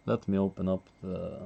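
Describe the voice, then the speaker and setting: Gravelly low-voiced man commentating chess. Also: dull audio. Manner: casual, chuckles often, reacts to chat.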